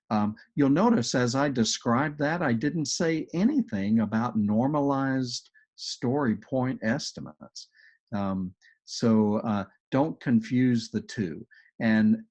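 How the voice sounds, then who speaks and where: A man with a Texas accent, an agile coach presenting in a webinar, talking in a relaxed, chatty way with many ums and restarts. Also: medium pitch. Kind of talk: webinar explanation and Q&A. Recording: dull, muffled web call audio.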